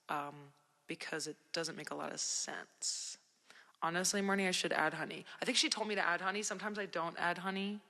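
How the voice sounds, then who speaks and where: A young woman from New Jersey, an independent musician, chatting casually with viewers on a livestream, her voice worn and hoarse from a recent cold, talking in a relaxed, rambling way full of fillers. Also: deep voice, long reverb tail. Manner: laid-back, rambling, many "like" and "um" fillers.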